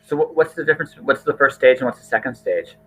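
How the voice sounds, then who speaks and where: A man's voice: mid-range voice, expressive, quick pace, pushed and bright, husky. A man hosting a podcast in casual chat, rambling and often repeating and rephrasing himself. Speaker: podcast host. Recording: thin, phone-like call audio.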